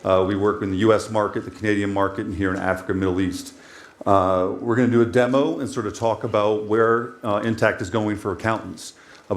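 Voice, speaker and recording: American man presenting at a conference. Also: on the low side. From United States. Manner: steady, business-like, frequent "uh" fillers. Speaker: company CEO presenting.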